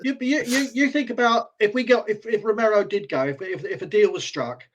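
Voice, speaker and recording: A man in a casual fan discussion as a podcast panellist, bantering in an animated, exasperated, sweary way. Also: high-pitched, a little fast, a little hiss or hum.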